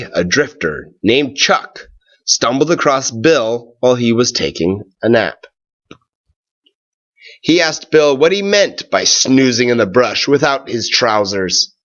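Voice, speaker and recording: A man reading a storybook aloud with expressive, deliberate, dramatic phrasing. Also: medium pitch, lo-fi sound.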